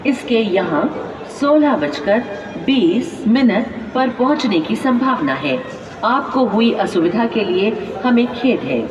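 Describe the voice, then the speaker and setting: Very slow Indian woman on station PA. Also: a little high, expressive, distant mic. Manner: formal, measured, segmented phrasing. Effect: public address loudspeaker. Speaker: railway station announcer. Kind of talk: pre-recorded scripted public announcement, pieced together from phrases. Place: busy railway station, loudspeaker heard from afar. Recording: echoey, dull station PA with crowd noise.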